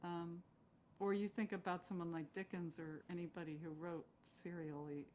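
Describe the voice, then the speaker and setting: A woman's voice: lowish voice, level tone, moderate pace, a little mellow. A woman speaking as an actress guest in a casual interview, reflecting analytically as she talks. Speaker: guest, stage and screen actress. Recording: clear background noise.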